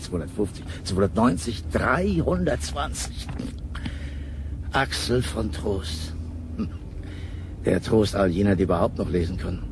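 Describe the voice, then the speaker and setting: Low-voiced, sardonic male traveller. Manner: sardonic muttering, barking orders. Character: gruff, sarcastic male car traveller, irritable and curt. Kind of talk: German radio play acting. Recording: vehicle noise behind the voice.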